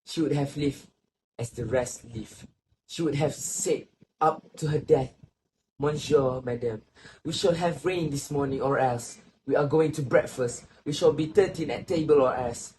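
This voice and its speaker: A sorrowful old grandfather in a stage drama, acted by a man. His voice sits a little high and moves slowly and solemnly through reflective, literary lines. There is faint noise behind him. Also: lightly acted. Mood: grave, reflective. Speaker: student actor. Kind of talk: literary stage play acting.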